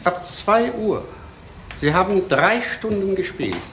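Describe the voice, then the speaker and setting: An actor playing a polite, obliging witness in a crime drama. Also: male voice, sits high, very animated, slow, a bit bright, echoey room, slight background noise, exaggerated voice. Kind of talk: German crime drama acting.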